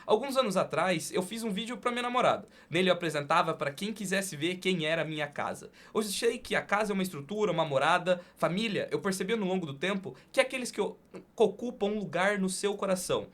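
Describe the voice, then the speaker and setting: A man with a somewhat high-pitched voice hosts a podcast. His delivery is deliberate and conversational, leaning poetic, with emphatic pauses between phrases. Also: animated, fairly bright tone. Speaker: podcast host. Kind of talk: conversational hosting and spoken-word poem recital.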